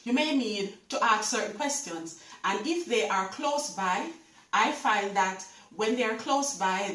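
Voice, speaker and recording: Woman, Jamaican accent, middle-aged, casual vlog chat, slightly high voice, a bit off-mic. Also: a bit bright, roomy sound, recorded from a distance, low-quality recording. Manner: chatty, repeats words, speaks straight to viewers. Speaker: travel vlogger. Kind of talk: casual talk to camera.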